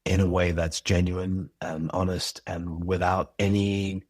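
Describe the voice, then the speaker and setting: A man's voice: low voice, flat delivery, a little slow, darkish tone, no room sound, clean studio sound. A man who creates and directs audio drama, speaking as a guest in a casual interview, earnest, reflective and passionate.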